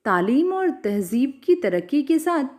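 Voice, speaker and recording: A stern, zealous cleric voiced by a woman storyteller, commanding and preachy. The delivery swings in pitch as he justifies himself and lays down orders in an audiobook tale. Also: sing-song, unhurried, a little mellow. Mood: self-justifying.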